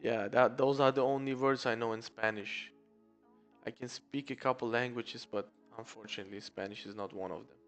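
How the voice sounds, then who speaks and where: Male stream host with a smooth, resonant voice at mid pitch. Easygoing and chatty, greets viewers by name with faint music underneath. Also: a little fast, muffled recording. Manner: reads viewer comments aloud and greets them by name; relaxed, chatty, says "bro" often. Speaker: live-stream day trading host. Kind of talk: casual live-stream chat.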